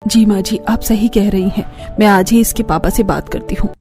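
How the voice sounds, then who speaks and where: A woman's voice: mid-range voice, moderate pace, a little mellow, soft background music, lightly acted. A woman voicing a stern, weary mother who scolds her daughter reproachfully in a family cartoon. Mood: exasperated, stern. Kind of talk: Hindi family cartoon voice acting.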